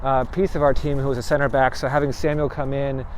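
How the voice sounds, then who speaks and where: A soccer coach speaking in an interview, measured and thoughtful, with some fillers. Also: male voice, mid-range voice, flat delivery, a little mellow, slight background noise.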